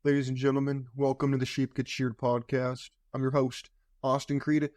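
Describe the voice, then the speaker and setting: A man hosting a podcast, his voice partly gone and hoarse, talking in a provocative, sarcastic, lecturing way. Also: mid-range voice, completely flat delivery, normal speed, a little mellow. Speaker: podcast host. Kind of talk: opinionated podcast monologue.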